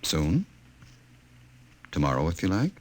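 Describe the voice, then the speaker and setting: A very low-voiced, middle-aged official in 1950s radio drama style. He is smooth and calculating, with formal, measured speech and cold, controlling authority. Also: huge pitch swings, heavily acted. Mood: suave, possessive. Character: smooth, calculating male government director, coldly controlling.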